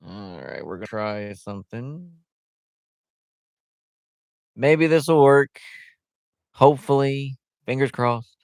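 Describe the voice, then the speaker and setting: Male livestream host, mid-pitched, loose and chatty with plenty of fillers; clean, resonant tone. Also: lively intonation, takes it slowly, gravelly, long pauses, a little mellow, clean tone, no room sound, studio quality. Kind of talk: casual livestream chat. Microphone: headset.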